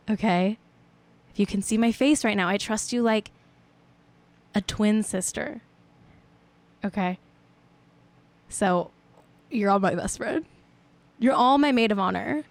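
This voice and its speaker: Rambling playful young woman host. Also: medium pitch. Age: 20s. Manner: rambling, playful, self-mocking. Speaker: show host. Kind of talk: casual livestream chat.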